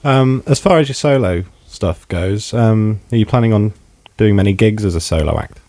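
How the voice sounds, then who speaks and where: Low-voiced English man asking questions. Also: mellow, dry recording. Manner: casual, halting questions. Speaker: podcast host. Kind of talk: interview questions.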